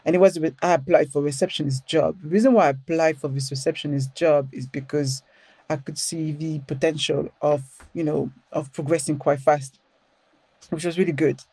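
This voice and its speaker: A middle-aged woman with a French accent speaks as a guest on a podcast, animated and quick to laugh. Her voice has a mellow, dark tone. It sounds dry and close to the mic. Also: sits low, husky, no room sound. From France. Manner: animated, laughs often, frequent restarts. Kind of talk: casual storytelling interview.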